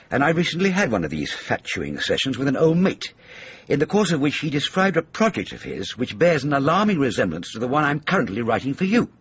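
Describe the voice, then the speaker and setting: An actor playing a jaded ageing British comedy writer in a radio drama, wry, wordy and self-pitying, with a husky voice. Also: male voice, medium pitch, lo-fi sound, a little hiss or hum.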